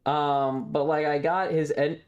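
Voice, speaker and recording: A man streamer talking casually and rambling through his material, halting often with stretched pauses. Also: on the high side, level tone, clear, resonant tone, muffled recording. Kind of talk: casual livestream chat over trip photos and videos.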